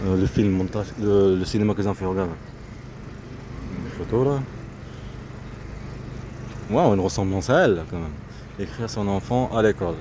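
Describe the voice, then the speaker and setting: A man's voice: on the low side, moderate pace, long pauses. A man giving a spontaneous, rambling monologue outdoors, repeating himself in fragmented phrases as his thoughts drift. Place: outdoors near road with vehicles. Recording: noisy outdoor street, traffic noise.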